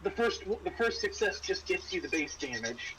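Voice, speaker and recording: A man acting as game master, explaining a tabletop game casually in a halting way. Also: sits high, very animated, crowd noise. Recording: clearly noisy room recording.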